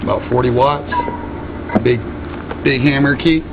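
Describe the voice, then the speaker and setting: A man with a mid-pitched voice talks casually through a radio demonstration in folksy trucker slang. The recording is dull and lo-fi, with very loud noise behind him. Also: fairly even intonation, a little slow. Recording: muffled, loud noisy radio hiss.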